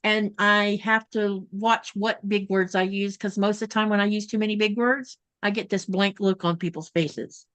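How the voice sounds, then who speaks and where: A woman's voice: medium pitch, fairly even intonation, moderate pace, few pauses, fairly bright tone, clean tone. A woman from Florida co-hosting a casual sewing livestream over a video call, chatting and reading out viewer comments. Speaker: livestream co-host. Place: home in Greenland.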